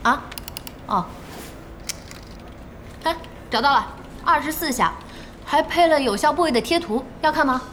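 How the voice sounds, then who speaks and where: A woman playing a feisty, impatient internet cafe boss in an audio drama, blunt and expressive. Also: high-pitched, a little slow, pauses often, a little hiss or hum. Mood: curious. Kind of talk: Chinese audio drama acting.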